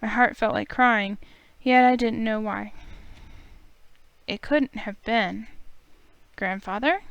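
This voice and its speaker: A woman's voice: on the high side, creaky, fairly bright tone, clear, resonant tone. Woman narrating a dramatic visual novel. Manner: emotive, urgent questioning. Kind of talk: dramatic first-person narration.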